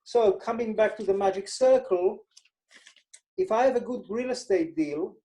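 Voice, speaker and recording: A man's voice: high-pitched, normal speed, dark tone. A man from Israel with an Israeli accent, a financial advisor explaining his methods in a casual interview and repeating words for emphasis.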